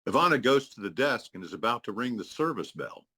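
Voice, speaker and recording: A man's voice: medium pitch, sing-song, a little fast, bright, forceful tone, breathy edge. A man reading stage directions as scripted narration for a table read.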